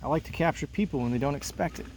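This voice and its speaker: A man reading a play aloud, voicing an outspoken, eager eighth-grade girl in an animated, insistent way. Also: fairly high pitch, very fast, recorded from a distance, slight background noise, natural voice, rough recording. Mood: friendly.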